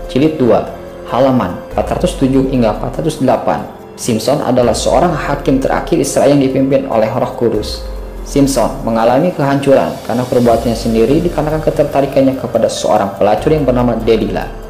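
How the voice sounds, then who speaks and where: A man narrates a scripted argument in formal, bookish language. His middle-pitched voice carries a clear room echo. Faint music plays behind him. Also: a little fast, warm tone. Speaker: video narrator.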